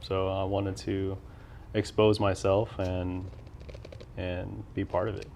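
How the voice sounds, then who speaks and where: Young male host, laid-back and conversational, low and gravelly. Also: level tone, takes it slowly, darkish tone, slight background noise. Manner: laid-back, many murmured backchannels. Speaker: podcast host. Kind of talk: casual interview chat.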